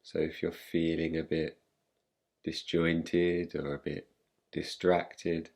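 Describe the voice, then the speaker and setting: A man guiding a meditation in a calm, gentle, unhurried manner, leaving long pauses between phrases. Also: extremely low pitch, creaky. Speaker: meditation guide. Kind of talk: guided meditation, yoga nidra.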